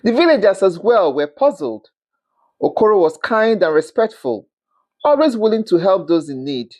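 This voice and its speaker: A storyteller narrating a scripted folktale in an expressive, dramatic manner, with the occasional stumble over words. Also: mid-range voice, moderate pace.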